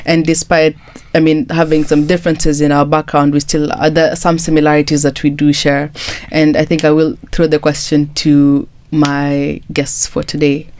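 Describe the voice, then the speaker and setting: A woman's voice: deep voice, level tone, normal speed, a little mellow. A young woman hosting a casual podcast, chatty and friendly, laughing often with her guests. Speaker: podcast host.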